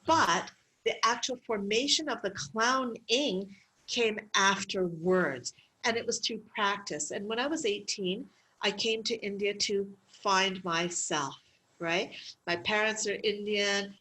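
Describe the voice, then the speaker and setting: Middle-aged woman guest, a medical clown; lively, chatty anecdotes with laughter. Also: mid-range voice, slow pace, low-quality recording. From Canada. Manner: lively storytelling with frequent laughs and fillers. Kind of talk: interview conversation.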